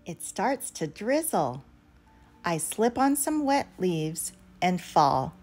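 Woman, children's storyteller, expressive read-aloud, animated intonation, unhurried pace, background music. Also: lowish voice.

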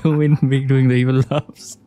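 A woman's voice: very deep voice, little pitch movement, moderate pace, mellow. A woman giving casual, giggly playthrough commentary, reacting aloud to a video game. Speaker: gaming streamer. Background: game music.

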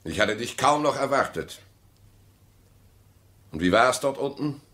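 An actor in a German radio play voicing an evasive, mistrustful husband with dry sarcasm and a clipped, deliberate delivery. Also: male voice, mid-range voice, animated, a bit bright. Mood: dry, skeptical.

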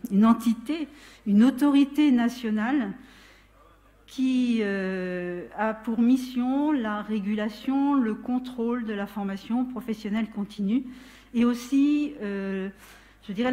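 A middle-aged woman presents to an audience in a large hall, speaking into a lectern microphone. Her voice sits fairly high but sounds warm and mellow. She explains patiently, often breaking off to restart or correct a phrase. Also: measured pace. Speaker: training consultant speaking at a trade fair. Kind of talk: conference presentation.

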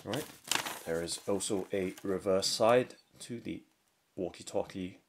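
A man giving unhurried, step-by-step product unboxing commentary indoors at a tabletop. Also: low voice. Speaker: product reviewer. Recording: faint handling and crinkling noise. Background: faint clicks and packaging crinkle.

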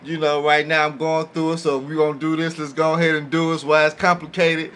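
Male podcast panelist, slightly high voice, colloquial casual chat, faint background noise. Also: little pitch movement, fast talker, fairly bright tone. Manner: colloquial, often checking in with listeners. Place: small indoor podcast room. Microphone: boom-arm studio microphone.

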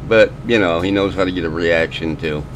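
A man's voice: low-pitched, brisk, noisy background. A man giving scornful, sarcastic commentary over video footage. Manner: mocking, scornful asides.

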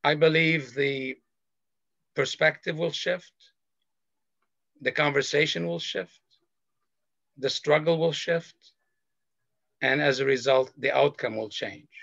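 A middle-aged man from Lebanon, a panelist sharing a personal story in a slow, earnest, measured way over a video call. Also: fairly high pitch, vocal fry, fairly bright tone, dry recording. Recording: dull video call audio.

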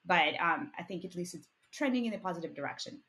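A woman panelist talking in a relaxed, conversational way on a video call. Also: fairly low pitch, fast.

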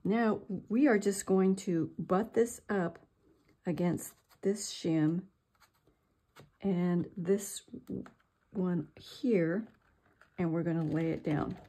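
A woman's voice: on the low side, dark tone. An older woman giving a chatty, step-by-step craft demonstration at her work table. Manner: slow, chatty, self-deprecating. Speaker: craft tutorial host.

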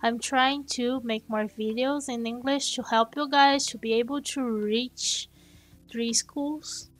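A Brazilian woman whose first language is Portuguese, commenting slowly and haltingly in English as she plays and thinks aloud. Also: a little high, fairly even intonation. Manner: slow, halting, thinking aloud while playing. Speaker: gaming channel host. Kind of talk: gameplay commentary.

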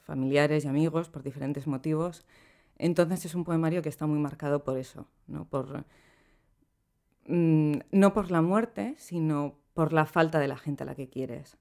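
A middle-aged woman, a poet, talking in a relaxed and reflective way as a podcast guest, laughing easily between thoughts, recorded in a small studio room. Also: low-pitched, unhurried, vocal fry. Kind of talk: relaxed conversational interview. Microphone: studio microphone on boom arm.

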